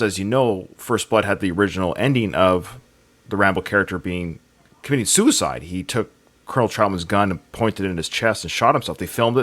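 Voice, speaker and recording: A man's voice: low voice, fairly bright tone. A man hosting a podcast, talking through films in a casual, conversational way. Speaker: podcast host. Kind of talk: casual conversational film commentary.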